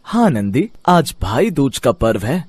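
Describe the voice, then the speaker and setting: A man acts out scripted lines for a children's mythological story. His voice is husky and mid-pitched, with very lively intonation. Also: unhurried, no room sound. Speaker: voice of characters in a story.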